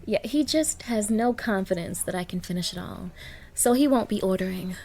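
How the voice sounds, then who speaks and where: A woman's voice: mid-range voice, animated, moderate pace, vocal fry, darkish tone. A woman playing a haughty, demanding young gold digger on a date, scolding and condescending in an audio roleplay. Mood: breezy, polite. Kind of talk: ASMR-style dramatic roleplay.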